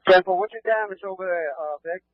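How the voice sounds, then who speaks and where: A high-voiced man running fire operations by radio. He fires off brisk questions quickly, with a dull, lo-fi sound. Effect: two-way radio. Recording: dull lo-fi scanner radio audio.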